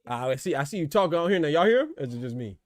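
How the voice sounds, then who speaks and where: A man's voice: fairly high pitch, big pitch swings, racing, no echo, clean studio sound. A man hosting a podcast, greeting callers and cheering along in slang. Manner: hype affirmations, slangy. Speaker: host.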